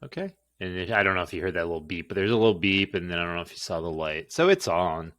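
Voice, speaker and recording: A man's voice: low-pitched, expressive, quick pace, a bit bright, dry recording. A middle-aged man rambling casually through a product unboxing in a goofy, grumbling, mildly profane way. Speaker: unboxing video host.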